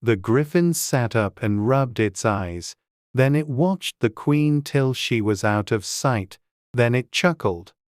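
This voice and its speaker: A male audiobook narrator reading a classic storybook. His delivery is slow and measured, in a very dry recording without room sound. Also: mid-range voice, expressive, dry recording.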